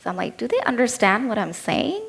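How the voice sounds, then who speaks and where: A woman's voice: a little high, sing-song, brisk, creaky, slight background noise. A woman in her thirties with an Indian accent, giving a keynote talk as a personal story told in a candid, lightly humorous way. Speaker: keynote speaker.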